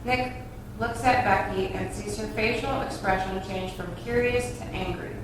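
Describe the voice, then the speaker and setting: A woman's voice: mid-range voice, steady tone, moderate pace. A woman reading stage directions as scripted narration at a table read. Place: reverberant room, far from mic. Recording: echoey room, distant mic, faint noise.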